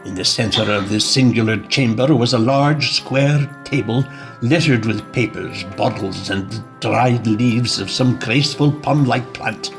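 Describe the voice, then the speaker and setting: A man reading scripted, dramatic story narration. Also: medium pitch.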